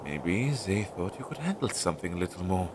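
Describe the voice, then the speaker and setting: A young man playing an anxious, earnest and loyal crow familiar in a roleplay audio drama, hesitant and chatty as he thinks aloud, on a low-quality amateur recording. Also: very animated, a little fast, noisy background. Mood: reassured, earnest. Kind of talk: roleplay audio drama, intimate one-sided dialogue.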